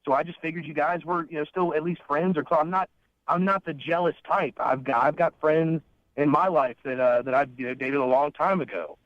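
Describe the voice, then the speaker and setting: A man's voice: a little high, fairly quick, dry recording. A young man calling a radio show, talking casually in a hesitant, bemused way over the phone. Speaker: caller on a radio dating segment. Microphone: telephone. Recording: dull, dry radio phone line.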